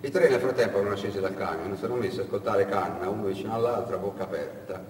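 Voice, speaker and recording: A man narrating as a stage actor, telling a story dramatically and expressively with pointed pauses. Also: low voice. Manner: expressive, with vocal sound effects and pointed pauses. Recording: echoing hall, distant mic, background noise.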